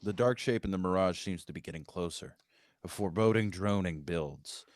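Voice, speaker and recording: Low-voiced man reading stage directions. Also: normal speed, no room sound, clean studio sound. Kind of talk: scripted narration at a table read.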